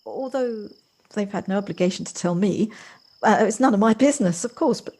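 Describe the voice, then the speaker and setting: A woman's voice: mid-range voice, brisk, darkish tone, lightly acted. A woman acting in an audio play as a polite, hesitant visitor, kindly and earnest, with small stammers. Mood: earnest, tentative. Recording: amateur home recording on personal device.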